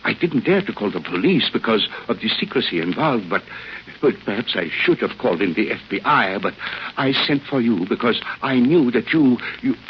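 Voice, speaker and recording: An actor playing an anxious, well-mannered doctor of science who stammers and hesitates formally in an old radio drama. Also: male voice, lowish voice, expressive, normal speed, lightly acted. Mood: anxious, distressed. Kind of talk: 1950s radio drama acting. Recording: muffled old radio recording, faint noise.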